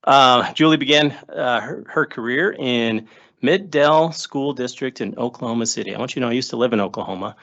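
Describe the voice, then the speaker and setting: A man's voice: medium pitch, brisk, highs cut off. Joking man at a board meeting mic. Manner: joking asides, chatty and relaxed. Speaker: school district administrator introducing new hires. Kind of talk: informal remarks at a public board meeting. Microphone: table microphone.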